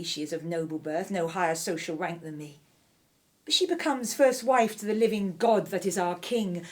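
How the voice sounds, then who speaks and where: A woman's voice: lowish voice, lightly acted. A woman playing a ruthless, vengeful royal wife in a radio drama, haughty and cutting in manner.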